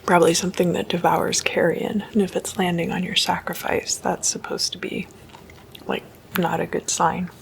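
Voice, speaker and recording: A woman giving a whispered ASMR talk, explaining stories in a hushed, unhurried and informal way. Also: on the low side, flat delivery, creaky, slight background noise. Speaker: host of a spooky ASMR series.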